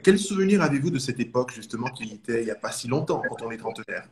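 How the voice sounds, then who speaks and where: A man hosting a live-streamed interview, talking warmly and at length with rambling questions. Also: mid-range voice, expressive, rapid-fire, a little hiss or hum. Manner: warm, effusive, rambling questions. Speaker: interview host.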